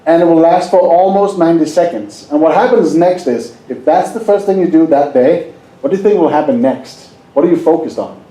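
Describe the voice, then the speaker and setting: A man's voice: on the high side, a little fast, warm tone. Danish-accented man presenting in an echoey hall. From Denmark. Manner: jokey, asks the audience questions. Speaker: hypnosis presenter. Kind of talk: live seminar talk.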